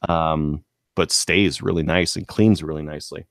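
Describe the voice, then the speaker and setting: A man's voice: a bass voice, steady tone, moderate pace, a bit bright, no echo, studio quality. A man talking casually as a podcast co-host, explaining things in stop-start phrases. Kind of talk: casual gun-hobby chat.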